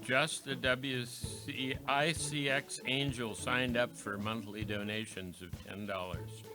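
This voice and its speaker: A man announcing in an old-time radio style, reading scripted lines in a theatrical, measured manner. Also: medium pitch, steady tone. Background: music.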